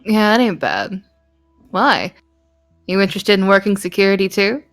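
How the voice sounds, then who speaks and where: Female co-player, lively swinging pitch, playful casual banter, exclamations, faint music behind. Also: normal speed. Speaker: livestream co-player and voice actor.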